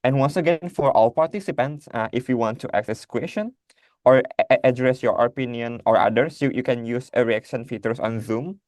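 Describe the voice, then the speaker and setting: A man from Indonesia moderating an online conference over a video call, talking haltingly with many fillers and restarts. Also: mid-range voice, darkish tone, no echo, studio quality.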